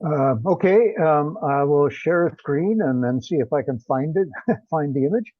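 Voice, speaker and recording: A man giving an informal technical presentation on a conference call, with hesitant, halting delivery. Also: fairly high pitch, lively intonation, no echo. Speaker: presenter of research findings. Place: teleconference. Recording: dull, dry, band-limited conference call.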